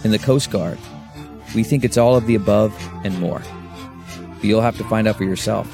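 A male announcer delivers a scripted inspirational advertisement. His voice is low, and music plays clearly behind him. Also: moderate pace, dark tone. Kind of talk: scripted inspirational recruitment ad voice-over.

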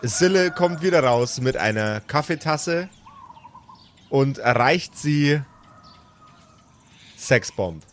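A man's voice: a little high, animated, takes it slowly, pauses often, a little hiss or hum. A man acting as game master, improvising narration and bits of character talk.

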